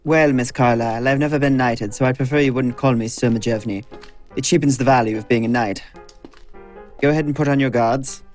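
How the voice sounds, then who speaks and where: An actor playing a seasoned sword instructor in an audio drama, dry, blunt and patient, with a measured teaching manner. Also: male voice, mid-range voice, steady tone, darkish tone, soft background music, close to an everyday voice. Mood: dry, instructive.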